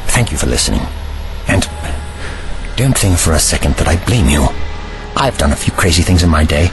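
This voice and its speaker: A man playing a calm, protective, wry time-travelling adventurer with measured, formal phrasing in an audio drama. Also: very deep voice, over music. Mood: hushed caution. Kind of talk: fan audio drama acting.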